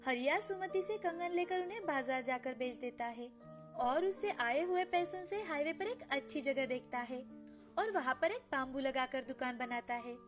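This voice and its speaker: A woman's voice: high-pitched, animated, moderate pace, over music. A woman narrating a scripted children's moral story as storyteller.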